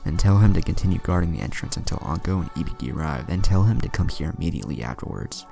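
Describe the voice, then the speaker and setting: A man's voice: deep voice, animated, quick pace, gravelly, warm tone, faint music behind, heavily acted. A man voicing a weary old male village leader, stern but kindly, who sighs and grumbles, in a storybook reading.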